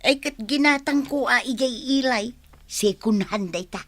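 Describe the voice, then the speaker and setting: A woman playing a lively, thrifty married village woman in an Ilocano radio comedy, expressive and full of exclamations, with an Ilocano accent. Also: a little high, measured pace, no echo. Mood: chatty. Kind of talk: Filipino radio comedy drama acting.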